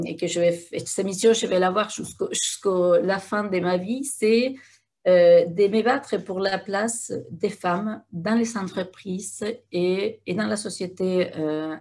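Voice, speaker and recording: Husky woman, low voice. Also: a little mellow. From Argentina. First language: Spanish. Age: middle-aged. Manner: earnest, many hesitations and restarts. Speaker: guest speaker. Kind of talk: live interview talk to an audience.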